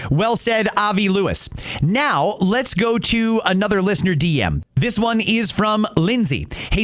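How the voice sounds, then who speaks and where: A man's voice: high voice, a bit bright. A Canadian man hosting a podcast, giving opinionated commentary in an emphatic, outspoken manner. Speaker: podcast host. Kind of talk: opinionated commentary and reading listener messages.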